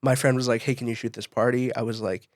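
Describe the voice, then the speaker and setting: A man's voice: mid-range voice, flat delivery, fast, no echo, studio quality. A man co-hosting a podcast, telling stories in a casual, informal way and acting out bits of conversation. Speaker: podcast co-host, event photographer. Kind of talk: casual podcast chat, storytelling.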